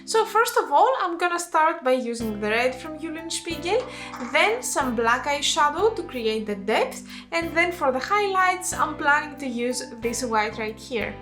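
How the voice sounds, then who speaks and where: A woman's voice: high-pitched, very animated, measured pace, fairly bright tone. A woman from Greece with a Greek accent, talking through a makeup tutorial in a chatty, explanatory way and stopping now and then to correct herself. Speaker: makeup artist and channel host. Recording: echoey room with faint music.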